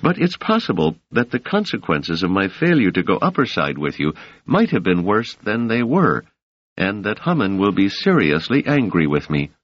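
A man reading an audiobook voices a worried, protective woman in a raised, softened voice, earnest and insistent. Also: lowish voice, big pitch swings, normal speed, no room sound, pitched above the performer's own voice. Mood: alarmed. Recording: dull, dry, lo-fi sound.

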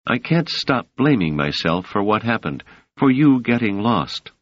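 A man's voice: sing-song, unhurried, no echo. A man voicing an earnest, protective woman. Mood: insistent. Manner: higher, softened voice for a woman. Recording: dull, dry, lo-fi sound.

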